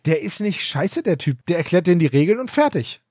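A man's voice: high voice, very animated, brisk, darkish tone, dry recording, studio quality. A man co-hosting a podcast, retelling a story in a loose, rambling, chatty way. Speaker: podcast co-host. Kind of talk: casual episode recap.

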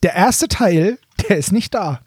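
A man with a fairly high-pitched voice chats as a podcast host, recorded close and dry. He explains things colloquially and with animation, like a fan talking to fellow fans. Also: animated, unhurried, breathy edge, dry recording. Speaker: podcast host presenting audio dramas. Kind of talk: casual fan chat.

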